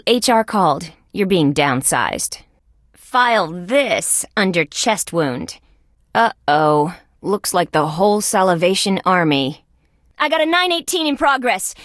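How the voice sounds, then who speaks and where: Tough young female insect cop for a video game: lowish voice, wry, wisecracking, very dry close sound. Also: no room sound, exaggerated voice. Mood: cocky, taunting. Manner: sardonic, wisecracking. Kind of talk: video game character lines.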